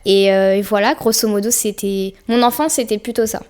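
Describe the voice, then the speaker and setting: A woman's voice: medium pitch, steady tone, a little fast, a little mellow, smooth. A young woman from France, a podcast guest, chatting casually and easily with plenty of fillers and slang. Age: young adult. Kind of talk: casual interview chat.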